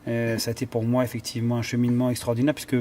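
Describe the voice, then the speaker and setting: A man's voice: medium pitch, monotone, rapid-fire, darkish tone, slight background noise. Hesitant, thoughtful French-speaking man. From Iran. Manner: thoughtful, many fillers and restarts, searching for words. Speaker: conductor and cellist. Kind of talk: interview answers.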